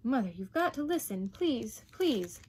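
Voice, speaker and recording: A desperate young schoolboy from a storybook, played by a woman reader. The voice is raised high and slightly husky. He is earnest and imploring, pushing his words out urgently. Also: very animated, measured pace, darkish tone, full character voice. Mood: pleading. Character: earnest pleading working-class schoolboy, desperate and sturdy.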